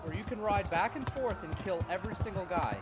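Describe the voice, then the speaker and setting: A man's voice: high voice, a little fast. A man giving casual, sweary and exasperated gameplay commentary as a let's play host. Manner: sweary, exasperated griping at the game. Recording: loud game music behind voice. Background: game music, horse hoofbeats.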